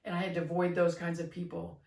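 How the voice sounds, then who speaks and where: Gentle middle-aged woman, roomy distant sound. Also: lowish voice, monotone, fast, gravelly. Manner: gentle, earnest, with frequent fillers and restarts. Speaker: podcast co-host. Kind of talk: reflective casual chat. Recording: roomy, slightly distant mic.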